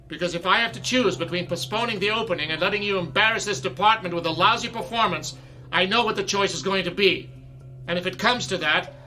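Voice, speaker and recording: An actor playing a stern, impatient middle-aged college theater director in a radio drama. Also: male voice, sits high, pushed and bright.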